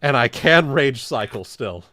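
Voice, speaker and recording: A man's voice: mid-range voice, expressive, a little slow, bright, forceful tone. A man chatting casually as a player in a tabletop roleplaying game. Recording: dry voice with background music. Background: music.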